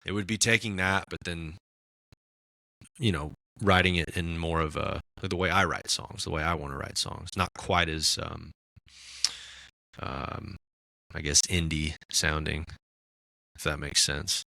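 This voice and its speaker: A raspy-voiced man in casual podcast chat, talking about his music with lots of fillers and restarts. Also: low voice, steady tone, a little slow, pauses often, pushed and bright, no echo. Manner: rambling, many fillers and restarts. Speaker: musician guest.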